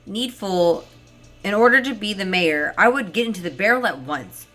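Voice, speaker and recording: A woman's voice: medium pitch, animated, moderate pace, sharp tone, faint music behind. A woman voicing a gullible, eager male shepherd with earnest storybook delivery. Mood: curious, eager.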